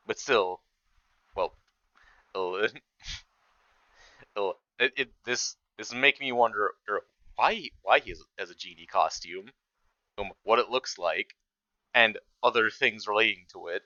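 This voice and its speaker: Bright-voiced man casually reacting, dry sound. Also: on the low side, measured pace, pauses often, no room sound, muffled recording. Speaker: reaction video host. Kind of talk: casual commentary on an animated video.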